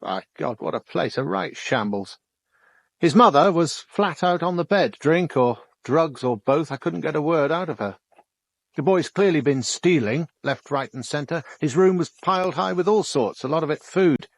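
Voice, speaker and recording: An actor playing a down-to-earth, sympathetic police detective with a British accent, reporting in plain colloquial speech in a radio drama. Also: male voice, a little high, lively intonation, moderate pace, gravelly, no room sound. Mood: frank, sympathetic.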